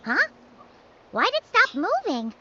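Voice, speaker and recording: A woman voicing a small, excitable, childlike companion in a video game, exclamatory and incredulous. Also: sits high, measured pace, muffled recording, heavy background noise, heavily acted. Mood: puzzled.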